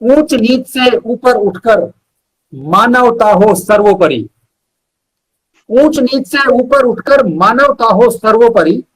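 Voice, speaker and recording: A man's voice: sits high, poor audio. A man, a poet being interviewed, speaking earnestly and reciting with emphatic repetition. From India.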